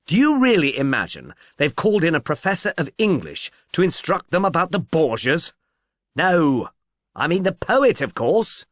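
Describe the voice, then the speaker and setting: A man voicing an irritable, witty professor of English in an audiobook, brisk and dryly emphatic. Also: a little high, huge pitch swings, no room sound, studio quality, voice pushed up. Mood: exasperated. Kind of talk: audiobook character dialogue.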